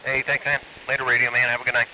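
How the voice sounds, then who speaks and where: A man's voice: mid-range voice, level tone, rapid-fire. A man in a laid-back, colloquial casual chat, heard over a noisy CB radio transmission with hiss. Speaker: CB radio caller. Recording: hissy, noisy CB radio signal.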